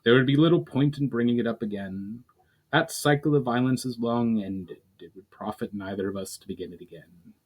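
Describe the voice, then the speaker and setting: A man voicing an ancient elf general, calm, solemn and measured, in a fantasy story reading. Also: medium pitch, husky, lightly acted, low-quality recording.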